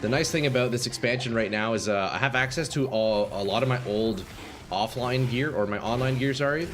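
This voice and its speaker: A man streaming a game live, chatting casually with viewers, swearing and reacting loudly, his voice going a little hoarse. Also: mid-range voice, a little fast, a little hiss or hum. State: slightly hoarse, losing voice. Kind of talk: casual live-stream chat while playing. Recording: faint game music behind.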